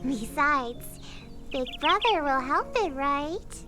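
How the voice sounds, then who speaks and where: An actress voicing a gentle, earnest and wistful young woman in a video game, speaking softly and with measured care. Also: sits high, animated. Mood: wistful, hopeful. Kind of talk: video game voice acting, English dub. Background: background music.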